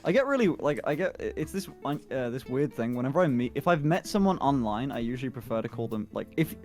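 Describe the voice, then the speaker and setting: A man's voice: medium pitch, big pitch swings, fast, a little mellow, soft background music. A man chatting casually as a stream guest, rambling, laughing a lot and swearing freely. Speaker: guest on a livestream call. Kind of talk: casual chat.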